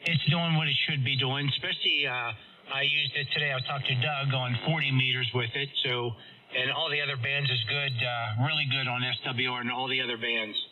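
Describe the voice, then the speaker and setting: A man talks casually as a ham radio operator, in halting technical chat. The transmission is somewhat dull and muffled, with light noise behind the voice. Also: medium pitch, steady tone. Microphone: heard over amateur radio.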